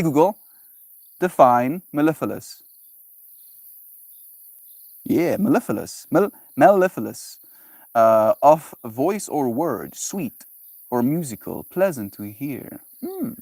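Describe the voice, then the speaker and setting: Guy livestreaming, playful and musing, rolls words around, mid pitch, dry close sound. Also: darkish tone, dry recording. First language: Afrikaans. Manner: playful, musing aloud, savoring and repeating words. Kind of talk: casual solo livestream chat.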